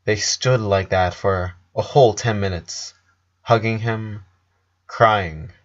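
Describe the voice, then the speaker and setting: A man reading a scripted story as narrator, in a measured way with pauses between phrases. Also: fairly low pitch, steady tone. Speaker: fan fiction narrator. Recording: dull lo-fi voice recording.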